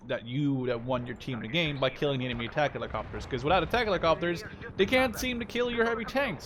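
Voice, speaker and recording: Slangy young man commentating over battle noise. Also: fairly high pitch. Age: 20s. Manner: informal slangy chatter with swearing. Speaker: gaming video host. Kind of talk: casual gameplay commentary. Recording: loud game battle sounds behind voice. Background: artillery, gunfire and vehicles from the game.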